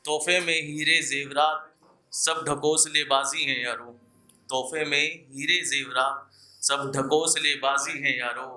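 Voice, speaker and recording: A young man recites verse as a live open mic poet. His voice sits a little high and is very sharp and forceful. He declaims his couplets, repeating lines for emphasis, and speaks to the audience in a casual way. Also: steady tone, slow.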